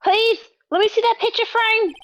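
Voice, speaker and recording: A woman's voice: very high-pitched, fairly even intonation, a bit bright, a little hiss or hum. A woman voicing a sweet, distraught little girl who is easily alarmed and exclaims in a game fan dub. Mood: alarmed, excited. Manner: gasping, exclaiming, halting when upset.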